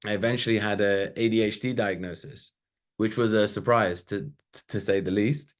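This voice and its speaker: A man's voice: lowish voice, steady tone, normal speed. A man, giving a casual, chatty webinar talk with loose asides and fillers. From Sweden. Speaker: webinar presenter.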